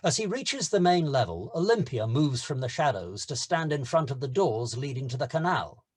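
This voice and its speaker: Lively, husky-voiced male narrator. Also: mid-range voice, normal speed. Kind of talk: scripted screenplay narration.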